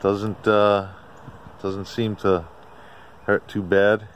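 Man, deep voice, halting casual narration, plain-spoken, handheld outdoor recording, birdsong and ambient noise. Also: level tone. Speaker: amateur radio hobbyist narrating own video. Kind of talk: casual walkaround vlog, unscripted. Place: outdoors in a residential driveway. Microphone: handheld camera or phone, operator off screen.

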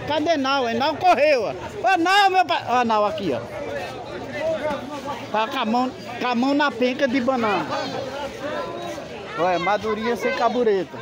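A man's voice: extremely high pitch, normal speed, long pauses. A man with a Northeastern Brazilian accent from Pernambuco, chatting casually and greeting people as a market vlogger. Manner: calls out and greets passersby informally. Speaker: vlogger filming a street market. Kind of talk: casual chatty walk-through commentary. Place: outdoor street market. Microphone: handheld phone held by the person filming. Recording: busy outdoor crowd and street noise.

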